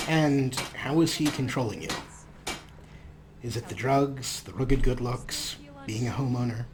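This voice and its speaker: A man's voice: mid-range voice, measured pace, noisy background. A man hosting a let's play, giving sarcastic, playful commentary and role-playing his character. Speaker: host.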